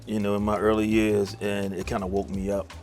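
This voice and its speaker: A man's voice: on the low side, monotone, brisk, darkish tone, faint music behind. A middle-aged man, a songwriter talking casually in an interview with many fillers and restarts. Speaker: songwriter guest.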